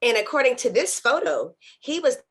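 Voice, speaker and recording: A woman's voice: sits high, animated, normal speed, slightly rough, exaggerated voice. A woman playing a skeptical news reporter in a script read, asking pointed questions. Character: persistent, skeptical news reporter confronting a politician. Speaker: actor in a virtual table read. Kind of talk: screenplay table-read acting.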